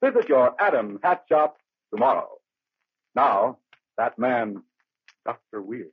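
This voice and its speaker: Male vintage radio announcer, sponsor read. Animated, very husky, middle pitch; dry, tinny old recording. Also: takes it slowly, no echo.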